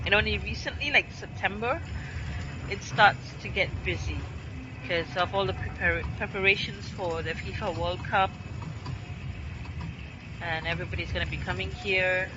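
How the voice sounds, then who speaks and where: A woman's voice: medium pitch, moderate pace, long pauses, dull audio, noisy background. A woman from Singapore chatting casually while streaming a game from her home in Qatar, meandering and full of fillers.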